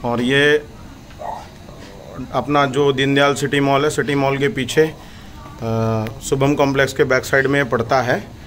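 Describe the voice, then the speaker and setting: A man's voice: mid-range voice, steady tone, unhurried, long pauses, a bit bright. A man in his thirties presenting a house for sale in a plain conversational vlog, with hesitation fillers. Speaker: property dealer. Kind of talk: real estate listing vlog. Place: indoor office with decor shelves, then outdoors walking through a lane.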